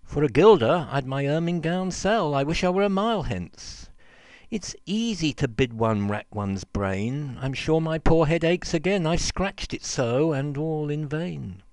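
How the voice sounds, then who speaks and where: A man's voice: fairly high pitch, animated, unhurried, a little mellow, clear, resonant tone, no echo. A man voicing a pompous, fat old mayor, fretful and blustering in a storybook verse reading.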